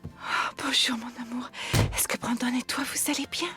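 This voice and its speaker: A woman's voice: on the high side, fairly even intonation, normal speed, over loud music, lightly acted. An actress voicing a young mother on a phone call, whispering endearments and near tears. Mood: tender. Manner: soft whispered endearments, breaking into sobs.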